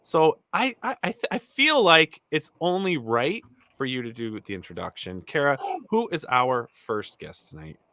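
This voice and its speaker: An enthusiastic man hosting a casual comics podcast. His voice is bright and lively, rising and falling a lot as he restarts his sentences. The sound is dry and close, with no room around it. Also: medium pitch, moderate pace, hardly any pauses, dry recording. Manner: animated, enthusiastic, many restarts. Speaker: co-host. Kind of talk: casual video podcast chat.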